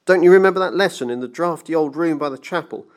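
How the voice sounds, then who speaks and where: A man's voice: a little high, quick pace, pitched above the performer's own voice, close to an everyday voice. A man voicing a timid, anxious clockmaker in an audiobook story, carefully pleading and placating. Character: timid, pleading male clockmaker placating his dangerous friend. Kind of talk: dramatised audiobook reading.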